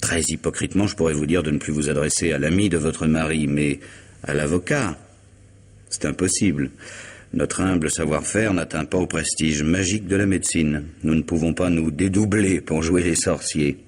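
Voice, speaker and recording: Urbane, deep husky-voiced radio lawyer. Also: male voice, fairly even intonation. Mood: earnest, measured. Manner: measured, formal, questioning. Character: urbane, probing male lawyer and family friend. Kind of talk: vintage French radio drama acting.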